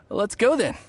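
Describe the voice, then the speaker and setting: A man playing a smooth-talking bandit who poses as a travelling merchant in a video game.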